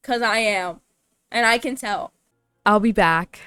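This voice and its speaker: A young woman giving casual, blunt, joking commentary as she plays a video game, in a clear, smooth voice. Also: mid-range voice, unhurried, sharp tone, no echo, soft background music. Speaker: gaming YouTuber.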